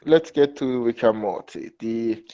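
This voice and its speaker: A man's voice: mid-range voice, unhurried, warm tone. A man hosting a casual podcast in a wry, teasing way. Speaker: podcast host. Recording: slightly dull, lo-fi audio.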